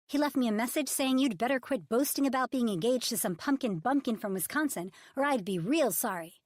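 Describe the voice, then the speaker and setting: A woman voicing a high-strung female Hollywood agent whose voice is high-pitched, strained, loud and scolding. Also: lively intonation, brisk, no room sound, studio quality. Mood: angry scolding.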